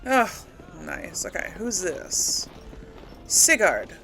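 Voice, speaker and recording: A woman giving casual game commentary as she plays, musing and thinking out loud to her audience. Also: mid-range voice, very animated, drawn-out words, creaky. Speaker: gamer, host of a role-play let's play.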